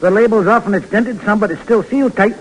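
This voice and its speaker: A man's voice: high voice, quick pace, close to an everyday voice, poor audio. An actor playing a proud, plainspoken craftsman in an old radio drama. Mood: steady. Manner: plainspoken, earnest. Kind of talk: 1940s radio acting. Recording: old radio recording with faint noise.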